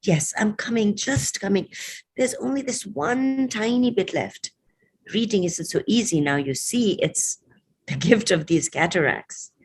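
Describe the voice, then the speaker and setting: A woman's voice: medium pitch, expressive, a little slow, slight background noise, voice pushed up, natural voice. A woman voicing a proud, stubborn elderly mother in a poetry recitation, given to exclamatory questions and coaxing repetition. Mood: apologetic. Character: stubborn elderly mother, proud, scolding yet sweetly pleading.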